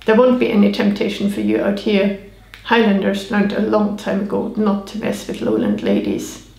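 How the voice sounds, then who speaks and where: A brooding Highland man speaks with chilly mockery, read out by a woman. The voice has a gravelly rasp at the ends of phrases. It sounds like a reading recorded in an echoey room. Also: big pitch swings, normal speed, darkish tone. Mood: cold.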